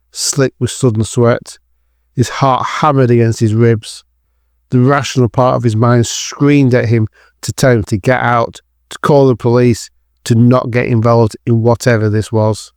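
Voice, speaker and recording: A man's voice: mid-range voice, little pitch movement, measured pace, darkish tone, clear, resonant tone, dry recording, clean studio sound. A man narrating a scripted dramatic thriller as the storyteller of an audio drama.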